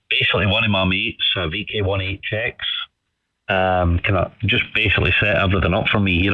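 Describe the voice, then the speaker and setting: Guy, deep voice, rambly friendly chat on ham radio, bit muffled. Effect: ham radio transmission. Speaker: amateur radio operator. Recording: dull band-limited ham radio audio.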